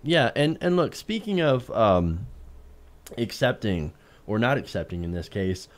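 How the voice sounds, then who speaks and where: A man's voice: on the low side, sing-song, measured pace. A man co-hosting a political talk show, arguing his points emphatically with rhetorical questions. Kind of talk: opinionated news commentary.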